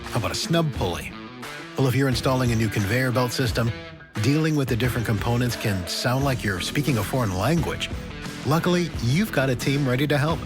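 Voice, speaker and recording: A man narrating a scripted radio advertisement. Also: medium pitch. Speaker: advertisement announcer. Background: plucked guitar music.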